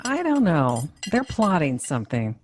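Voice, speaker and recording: A woman playing a polite, reserved middle-aged mother in a family radio drama, with a husky voice. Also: low-pitched, wildly swooping pitch, a little slow, darkish tone, slight background noise, heavily acted. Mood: mildly puzzled. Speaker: actor in a radio play.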